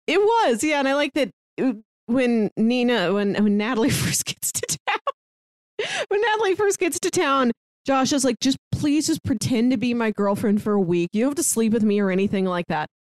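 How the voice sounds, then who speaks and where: A woman's voice: on the high side, animated, normal speed, no room sound, clean studio sound. A woman co-hosting a casual film discussion, retelling scenes and slipping into bits of dialogue as she goes. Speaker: podcast co-host reviewing a film.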